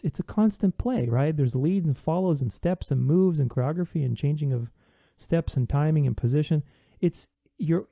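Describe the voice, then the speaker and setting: A man's voice: on the high side, creaky, warm tone, dry recording, studio quality. A man hosting a podcast, talking earnestly in a reflective solo monologue, with many restarts. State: recovering from voice loss.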